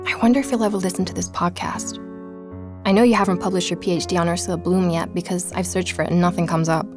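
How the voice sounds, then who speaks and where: An actress playing a determined woman journalist, narrating her podcast investigation in a measured, inquisitive way. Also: lowish voice, fairly even intonation, music in the background, close to an everyday voice. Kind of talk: scripted podcast narration in audio drama.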